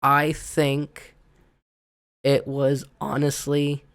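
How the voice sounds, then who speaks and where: A man hosting an unscripted gaming opinion vlog, hoarse and raspy from being sick, rambling and stumbling with lots of ums. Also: mid-range voice, monotone, extremely slow, a bit bright. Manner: looks around rather than at the camera. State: hoarse from illness. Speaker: gaming video host.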